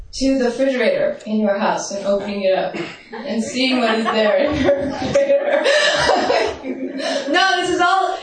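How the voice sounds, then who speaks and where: A woman with a strong, carrying voice leads a meditation teaching, walking listeners through visualizations and checking in with them as she goes. She speaks without a microphone, so her voice reaches the recorder from a distance. A long, booming echo from the large hall surrounds every word. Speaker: spiritual teacher. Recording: roomy hall echo, voice off-mic.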